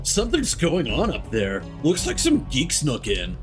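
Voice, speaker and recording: A man's voice: a little high, huge pitch swings, unhurried, faint music behind, pitched above the performer's own voice. A man voicing a young, bro-talking male video game character while reading out the dialogue. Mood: placating.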